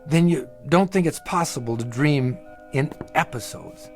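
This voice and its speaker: A man's voice: on the high side, measured pace, soft background music. A man playing a troubled, earnest middle-aged dreamer in a radio drama, confiding in an intense, hesitant, brooding way. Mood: earnest, insistent. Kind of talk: radio drama acting, confessional narration.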